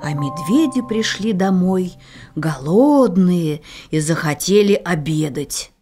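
A woman narrating a children's fairy tale in an expressive, sing-song, deliberate storytelling manner. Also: fairly low pitch, breathy edge, soft background music.

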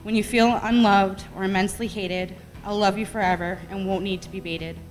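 A woman's voice: mid-range voice, fairly even intonation, fairly quick, faint music behind. A middle-aged woman reading her own rhyming poem aloud at a podium microphone in a meeting room. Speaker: poetry reader. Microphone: podium gooseneck microphone. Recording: echoey hall with long reverb.

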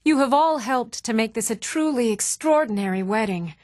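Actress as high-voiced, earnest fantasy game townswoman. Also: normal speed, no room sound, clean studio sound, lightly acted. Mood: gracious, festive. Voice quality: clear, even-toned. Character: even-toned young Nord townswoman, earnest and polite. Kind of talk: video game character voice lines.

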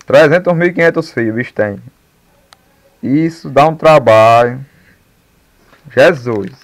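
A man's voice: slow, no room sound. A man chatting casually and slangily as a motovlogger. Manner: informal, slangy. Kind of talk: casual vlog chat. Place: riding a motorbike on rural dirt roads, later a dim room. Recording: noisy action-camera audio, distant voice, motorcycle rumble.

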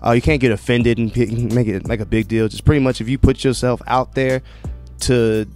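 An American man, a comedian, chatting informally into a handheld microphone, halting and repeating words. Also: medium pitch, steady tone, darkish tone, soft background music. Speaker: comedian being interviewed. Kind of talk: casual interview chat. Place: indoors against a brick wall. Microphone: handheld microphone, close.

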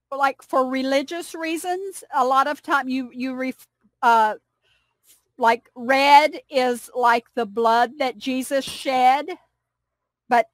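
Older kentucky woman on a headset video call, slow high voice, dry sound, patient explainer. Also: no room sound, low-quality recording. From United States. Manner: warm, explaining slowly with restarts. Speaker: English conversation partner. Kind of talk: casual video call chat. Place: home room indoors.

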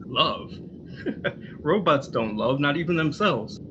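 A man's voice: fairly high pitch, animated, takes it slowly. A man acting an offhand, technical-minded robot psychologist in a radio drama. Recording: dull, noisy live drama recording.

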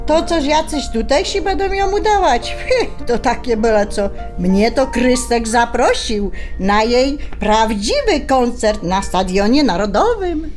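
An actress playing a defiant older sister with a Polish highlander accent, blunt and combative in an audio drama. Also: female voice, expressive, unhurried, hardly any pauses, a little mellow, faint music behind. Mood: boastful. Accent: Polish highlander (Goral). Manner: combative, blunt, rural dialect. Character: feisty, defiant mature sister about to marry late.

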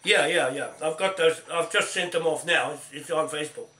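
An older man from New Zealand with a croaky voice and a cough, chatting on a speakerphone in a confident, repetitive way. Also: fairly high pitch, brisk, bright, forceful tone. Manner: repetitive, confident, starts and restarts. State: hoarse, coughing. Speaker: group organiser on a phone call. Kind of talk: casual phone chat. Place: cluttered indoor room. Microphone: camera across the room, talking on speakerphone. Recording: roomy, distant, faint noise.

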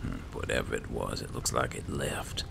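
A man giving low-key roleplay commentary on a game, muttering unhurried asides to himself. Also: creaky, very noisy. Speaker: gameplay host.

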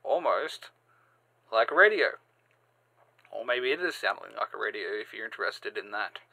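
A man hosting a step-by-step software tutorial, halting and sometimes repeating or correcting himself. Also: on the low side, very animated, gravelly, no echo, rough recording. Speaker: tutorial host. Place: indoors, screen-recording voice-over.

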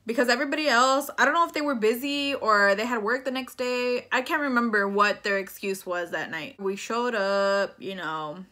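Young woman, bright higher-pitched voice, casual storytime, Spanglish, slangy. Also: normal speed, few pauses. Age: 20s. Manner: chatty, switches between English and Spanish, slangy. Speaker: vlogger telling a personal story. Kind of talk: casual storytime chat to camera. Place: small plain room indoors.

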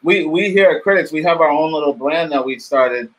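A man in his thirties hosting a casual video-call interview in a halting way, often repeating words. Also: on the high side, poor audio. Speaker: interview host. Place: dim home studio room, video call.

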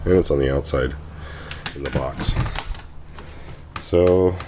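A man's voice: very deep voice, slow pace, noisy background. A man giving a chatty, rambling handheld camera tour with lots of ums and uhs. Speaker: vlogger showing his homemade trailer. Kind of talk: casual handheld walkthrough. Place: outdoors at a parking lot, then inside a small trailer.

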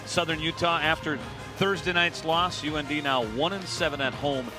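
A middle-aged man hosting a post-game sports wrap with a brisk, polished broadcast delivery, speaking into a headset mic at an arena desk. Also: a little high, steady tone, music in the background. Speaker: play-by-play host.

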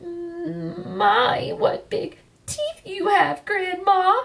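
A woman voicing a sweet, trusting young girl in a storybook, with a high and innocent voice. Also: sing-song, moderate pace, fairly bright tone, recorded from a distance, full character voice.

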